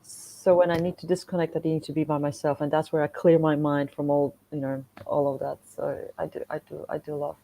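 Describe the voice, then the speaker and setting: A woman with a Polish accent and a low-pitched, soft-edged voice. She talks in a chatty, animated way, like a guest casually telling travel stories. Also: a little fast, hardly any pauses, a little hiss or hum, poor audio. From Poland. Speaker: podcast guest, motorcycle traveller and content creator. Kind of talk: casual conversational storytelling.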